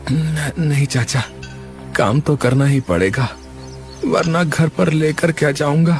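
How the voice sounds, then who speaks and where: A man voicing an exhausted, feverish young laborer in a cartoon, speaking in a weak, halting way. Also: fairly high pitch, faint music behind. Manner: slow, halting, stammering. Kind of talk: cartoon voice acting.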